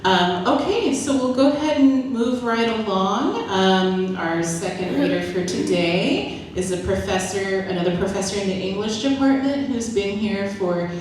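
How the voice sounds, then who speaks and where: A woman's voice: mid-range voice, animated, moderate pace, few pauses, a little mellow. A woman hosting a live poetry event, speaking off the cuff as she thanks and introduces people. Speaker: host of a college poetry event. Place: reverberant event room with an audience. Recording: very echoey room, distant mic, noisy.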